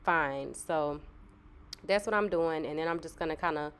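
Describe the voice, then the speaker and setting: A woman's voice: low-pitched, normal speed, clear, resonant tone. A young woman, a little nasal from a cold, chatting casually through a hair tutorial. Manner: relaxed, chatty, filler-heavy. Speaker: hair vlogger.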